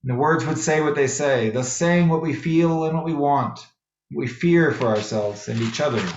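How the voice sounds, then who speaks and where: A man giving a sermon as a minister, speaking in measured, deliberate phrases with pauses. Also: a little high, reverberant, highs cut off. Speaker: minister preaching to a congregation. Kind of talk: sermon with poetry readings. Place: echoey worship space.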